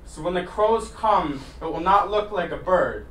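A man performing spoken word slam poetry as a poet at a live event, with dramatic, deliberate phrasing and emphatic pauses. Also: high-pitched, lively intonation, a bit bright. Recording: roomy, distant mic, faint noise.

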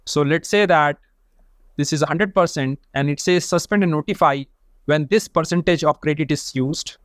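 Methodical man explaining software steps. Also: fairly high pitch, normal speed, no echo, studio quality. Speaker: data engineering instructor. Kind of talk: screen-share software tutorial.

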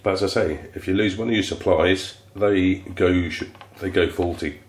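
A man with a stuffy head cold, explaining an amplifier repair in a relaxed, rambling workshop tutorial. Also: sits low, normal speed, a little hiss or hum. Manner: relaxed, rambling, restarts phrases. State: congested from a cold. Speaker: electronics repair technician. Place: electronics workbench, indoors.

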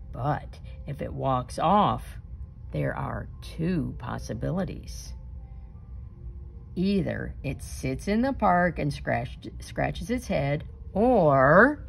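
Slow, expressive low-pitched woman storyteller. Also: gravelly. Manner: deliberate, dramatic emphasis with suspenseful pauses. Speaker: storyteller reading a picture book aloud. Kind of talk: expressive children's read-aloud.

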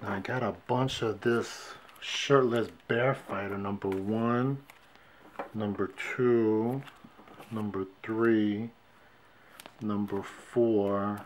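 A man casually showing his comic book finds on camera, calmly counting off issue numbers one by one at a shelf indoors. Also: fairly low pitch, slow pace, a little hiss or hum. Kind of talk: casual show-and-tell vlog. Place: indoors at a wooden shelf display. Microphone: fixed camera, hands only in frame.

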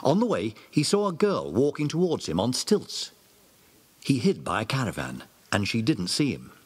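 A man's voice: medium pitch, huge pitch swings, normal speed. A man reading scripted narration for a children's audio drama. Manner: clear, storytelling.

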